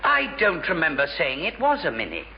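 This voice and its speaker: Muffled old radio comedy, huffy english dad, middle-aged, high voice, sarcastic and blustering. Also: male voice, wildly swooping pitch, fairly quick. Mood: accusing. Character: indignant, accusing heavy father of a teenage girl. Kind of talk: 1950s-60s British radio sitcom acting. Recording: muffled, hissy vintage radio recording.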